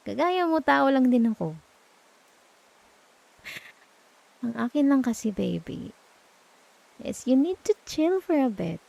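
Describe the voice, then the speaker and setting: A woman with a Filipino accent playing a gentle, reassuring girlfriend in an intimate ASMR roleplay, speaking softly and tenderly close to the microphone. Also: medium pitch, creaky, dry recording, poor audio. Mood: soft, caring. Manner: soft, tender, coaxing, with murmured hums. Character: gentle, reassuring young girlfriend comforting her partner at night. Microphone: close microphone, dry.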